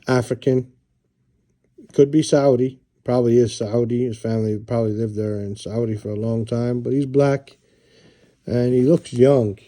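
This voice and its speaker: A middle-aged American man showing and describing books in a relaxed, rambling way, with many fillers and restarts. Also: mid-range voice. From United States. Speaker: book reviewer. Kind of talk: casual book show-and-tell.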